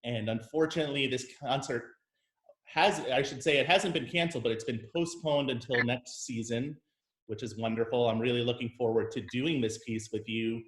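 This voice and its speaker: Male conductor as host, mid-pitched, measured and friendly, recorded in a roomy, echoey space. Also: a bit bright, slight background noise. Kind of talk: casual interview conversation. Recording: roomy echo.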